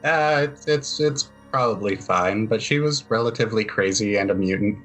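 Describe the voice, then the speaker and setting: A man with a halting delivery, narrating and chatting as he improvises a tabletop roleplaying game. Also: lowish voice, fairly bright tone, poor audio. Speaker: game master and player. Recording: music playing under the voice.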